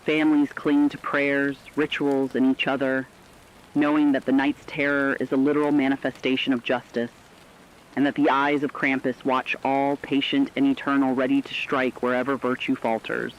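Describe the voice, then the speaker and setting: A man's voice: mid-range voice, flat delivery, normal speed, natural voice. A man playing a grave radio reporter, delivering formal, solemn warnings of terror as scripted dramatic news. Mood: grave, foreboding. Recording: dull lo-fi sound, hissy rain noise.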